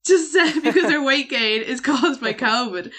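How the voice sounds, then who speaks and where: A young Irish woman on a podcast, telling a story in a relaxed, measured way during casual chat. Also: high-pitched. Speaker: podcast co-host.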